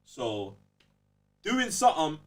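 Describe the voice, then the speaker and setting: A man's voice: fairly high pitch, wildly swooping pitch, slow pace, fairly bright tone, distant mic. A young man with a London accent talking emphatically and in slang on a casual podcast, often checking that he's being followed. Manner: emphatic, slangy, repeats check-in tag phrases. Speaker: podcast host.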